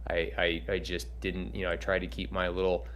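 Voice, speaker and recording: A man's voice: low voice, completely flat delivery, fairly quick, fairly bright tone, a little hiss or hum. A man, a film director and animator, giving earnest, encouraging, rambling answers on a Q&A panel.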